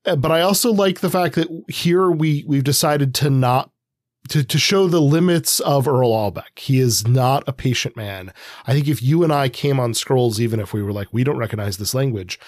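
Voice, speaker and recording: A man co-hosting a podcast, talking through books casually in a halting, thinking-aloud way. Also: mid-range voice, no echo, clean studio sound. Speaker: podcast co-host. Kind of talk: casual book discussion.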